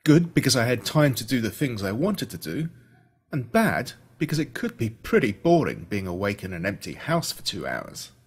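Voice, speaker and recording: An Australian man reading a scripted first-person story in an expressive, dramatic storytelling manner. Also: mid-range voice, normal speed, slightly rough. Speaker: audiobook narrator.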